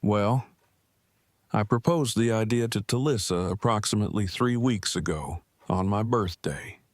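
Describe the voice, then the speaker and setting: Formal, low-voiced earnest husband played by a man. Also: dry recording. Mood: matter-of-fact. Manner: formal, wordy, measured. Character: earnest bookish husband, precise and devoted. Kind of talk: fan audio drama acting.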